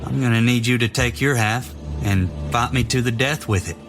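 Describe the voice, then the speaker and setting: A man playing a haunted, fanatical young soldier, speaking gravely and deliberately in a dramatic horror audio drama. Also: mid-range voice, lightly acted. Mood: menacing resolve. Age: young adult. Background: music.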